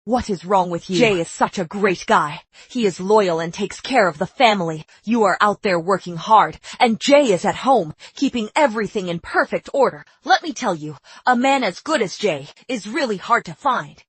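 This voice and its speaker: A middle-aged mother whose voice swings widely as she scolds in outrage. The sound is slightly husky, close and dry. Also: medium pitch, expressive, normal speed, hardly any pauses, no echo. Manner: emotional, indignant scolding.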